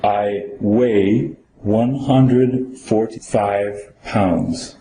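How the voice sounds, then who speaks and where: A man's voice: fairly low pitch, drawn-out words, mellow, a little hiss or hum. An older man from Venezuela answering citizenship interview questions in short, complete, careful sentences. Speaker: citizenship applicant in a mock interview. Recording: roomy echo with faint ambience.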